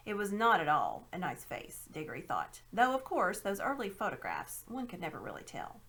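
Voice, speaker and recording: A woman narrating a children's story aloud in an expressive read-aloud style. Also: medium pitch, moderate pace. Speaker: reader.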